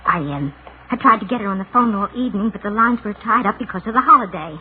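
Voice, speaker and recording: An actress playing a confused, anxious daughter in denial, in the emotional style of 1940s radio drama. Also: female voice, mid-range voice, lively intonation, close to an everyday voice. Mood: nervous, fretful. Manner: self-reproachful, halting soliloquy swinging into outbursts. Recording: noisy muffled 1940s radio recording.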